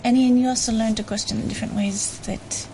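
A woman's voice: fairly high pitch, fairly even intonation, quick pace, creaky, warm tone, a little hiss or hum, low-quality recording. A Canadian-born woman author being interviewed on radio, thinking aloud with frequent ums and restarts. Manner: thoughtful, hesitant with many restarts and ums. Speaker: author guest.

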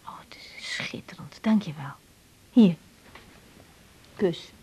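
Lively, husky young woman doctor. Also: fairly low pitch, slow pace, in a whisper, full character voice. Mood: moved, delighted. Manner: questioning, expressive. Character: young woman doctor, caring, expressive, easily moved. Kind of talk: radio drama acting.

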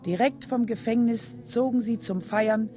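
Eine Schauspielerin spielt in einem Hörspiel eine nachdenkliche Mutter, die ernst und gemessen aus ihrem Leben erzählt. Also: female voice, on the high side, warm tone, faint music behind, natural voice. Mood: serious, reflective. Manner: measured, serious. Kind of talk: scripted radio drama narration.

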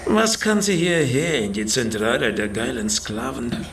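A man's voice: medium pitch, animated, measured pace, recorded from a distance, noisy background. A man playing a suave, menacing hitman in a noir radio play, politely smug, as if he were just a businessman. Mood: smug, urbane. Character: suave, menacing male contract killer posing as discreet businessman. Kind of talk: noir radio drama dialogue.

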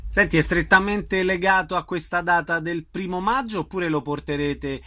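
A male radio interviewer with a fairly high, bright voice. He puts questions in an informal conversational way and reads out event details clearly for listeners. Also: normal speed.

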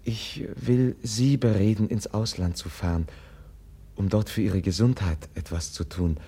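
An actor playing a gentle, compassionate young man in a 1950s German radio drama, speaking earnestly and softly, as if pleading. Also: lowish voice, unhurried, breathy edge. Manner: earnest, soft-spoken and pleading.

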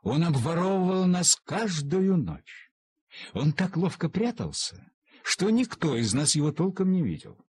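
A man reading a humorous story as an expressive, theatrical narrator who draws out words for emphasis in a husky voice. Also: a little high, unhurried.

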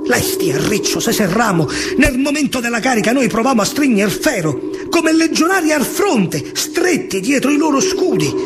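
Roman guy doing a theatrical soldier monologue, slow rhythmic verse, really high voice. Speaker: storyteller actor. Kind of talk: dramatic verse monologue with live music, radio theatre. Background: music played live.

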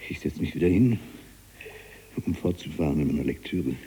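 An actor playing a learned, fearful prisoner in a brooding radio drama monologue, his husky, breathy voice halting and given to whispers. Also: rumbling low, expressive, dark tone, full character voice. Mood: uneasy, narrating.

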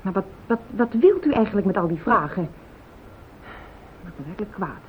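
An actress playing an anxious, fiercely loyal wife, formal and insistent, in a radio play. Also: female voice, big pitch swings, moderate pace, husky. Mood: indignant, insistent. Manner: formal, insistent, easily indignant. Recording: tinny old radio recording, hiss, rumble.

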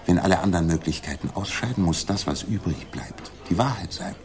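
An actor playing a confident, methodical Victorian detective in a 1960s German radio play, reasoning in a deliberate, commanding way. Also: male voice, sits low, lightly acted. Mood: explaining, assured. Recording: noisy 1960s radio drama recording.

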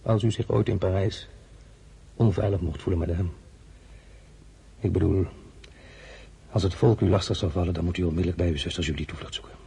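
An actor playing a commanding, weary emperor in a radio drama, halting and imperious, with a nostalgic streak. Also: male voice, low-pitched, creaky, warm tone, slightly rough, full character voice. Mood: imperious, stern. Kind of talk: dramatic radio-play acting.

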